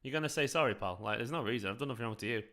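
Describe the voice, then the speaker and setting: Bright-voiced South Manchester man chatting. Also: medium pitch, racing. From South Manchester, England. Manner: chatty, rambling, talks to viewers. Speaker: fan channel host. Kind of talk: live football watchalong chat.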